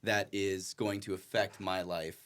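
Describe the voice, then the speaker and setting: A man with a somewhat deep voice hosts a casual podcast. His talk is blunt and full of slang, and he often says a phrase twice. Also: level tone, unhurried, fairly bright tone, clear, resonant tone. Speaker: podcast host.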